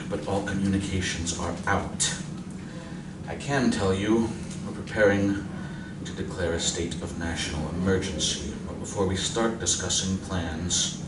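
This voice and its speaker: A stern army general, acted by a man for a radio drama, with a deep, commanding delivery. He speaks deliberately, heard from a distance in a noisy, echoing room. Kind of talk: live radio drama acting. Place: library community room, live performance.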